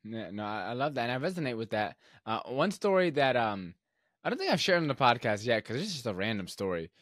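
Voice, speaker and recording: A young man hosting a podcast, telling stories in a relaxed, rambling way with lots of filler words. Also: mid-range voice, brisk, dry recording, studio quality. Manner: relaxed, rambling storyteller with many fillers and restarts. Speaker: podcast host. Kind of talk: casual podcast conversation.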